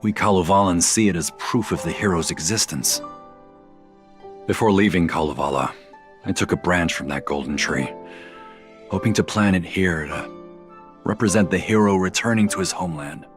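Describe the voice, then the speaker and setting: An actor playing an earnest, reverent foreign keeper of an ancient monument in a video game. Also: male voice, sits low, moderate pace, long pauses, soft background music, natural voice. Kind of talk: scripted game voice acting.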